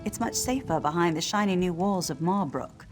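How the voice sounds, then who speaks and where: An actress playing a haughty, sardonic wood elf village leader in a video game. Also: female voice, on the low side, lively intonation, moderate pace, lightly acted. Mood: mocking, dismissive. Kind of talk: video game character acting. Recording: music behind the voice.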